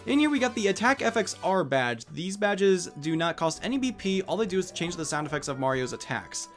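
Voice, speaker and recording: A man's voice: high-pitched, sing-song, fairly quick. A young man giving casual, rambling, self-deprecating commentary as he plays a video game. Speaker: let's play host. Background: video game music.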